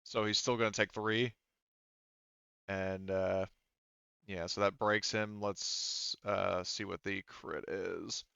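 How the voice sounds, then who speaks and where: A man's voice: sits low, flat delivery, takes it slowly, creaky, sharp tone, smooth, no room sound, muffled recording. A man casually running a tabletop roleplaying game as game master, thinking aloud as he narrates.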